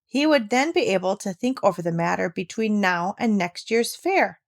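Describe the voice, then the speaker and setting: A woman's voice: medium pitch, expressive, normal speed, creaky, dry recording, clean studio sound. A woman reading a classic children's story aloud as scripted narration. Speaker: audiobook reader.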